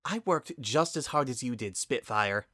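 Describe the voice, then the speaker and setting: A man acts out a guilty younger sister, a female unicorn pony in a storybook drama. The voice sits high and tense, blunt and defensive with plenty of emotion. The recording is dry. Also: animated, measured pace, sharp tone, dry recording. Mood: upset, heated.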